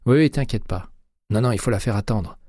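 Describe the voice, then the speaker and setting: A man reading an audiobook, voicing a determined, quick-thinking woman accomplice with a brisk, conspiratorial manner. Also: fairly low pitch, mellow, no room sound, clean studio sound, pitched above the performer's own voice, close to an everyday voice. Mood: reassuring.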